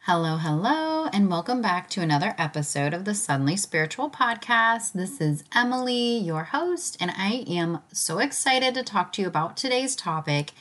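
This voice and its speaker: A woman hosting a casual spiritual podcast, chatty and enthusiastic, with a slightly raspy, hoarse voice as if recovering from illness. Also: mid-range voice, very animated, moderate pace, few pauses, fairly bright tone. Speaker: podcast host.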